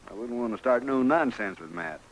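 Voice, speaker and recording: An actor playing a folksy, plain-spoken frontier stable keeper in an old radio western, drawling in a rustic American accent. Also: male voice, medium pitch, animated, brisk, natural voice. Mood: folksy, teasing. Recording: noisy old radio recording.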